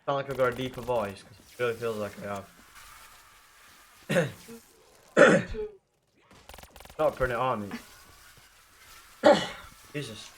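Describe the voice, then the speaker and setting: A young man with a blocked nose and rough throat, chatting casually and swearing with viewers while playing a game. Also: medium pitch, wildly swooping pitch, takes it slowly, pauses often, a bit bright. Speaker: livestreamer playing a zombie game.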